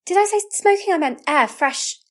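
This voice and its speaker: High, flustered young female aide. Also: expressive, moderate pace. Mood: nervous, evasive. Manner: flustered, stammering. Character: nervous young female government aide, a bad liar. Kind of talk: audio drama acting.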